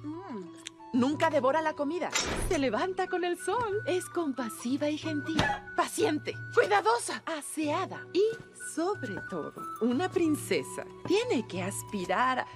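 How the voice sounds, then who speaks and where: An actress playing a poised, strict woman who instructs in royal manners in a measured, prim way, in an animated film. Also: high-pitched, exaggerated voice. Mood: prim, instructive. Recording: film clip under loud music, distant.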